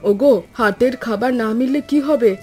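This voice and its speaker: A woman as a high-voiced, caring village housewife. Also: brisk, a little mellow, soft background music, lightly acted. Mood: reassuring. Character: caring, capable village housewife, farmer's wife. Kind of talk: scripted cartoon dialogue.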